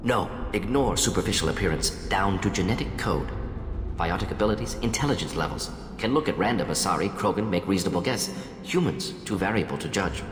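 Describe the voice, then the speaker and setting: Clipped, low-pitched alien scientist. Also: male voice, lively intonation, a little fast, a little hiss or hum. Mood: clinical, analytical. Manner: clipped telegraphic sentences, dropping small words, analytical. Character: fast-thinking male alien scientist, clinical and opinionated. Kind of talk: video game character acting. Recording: echoey hall reverb, music behind. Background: game music.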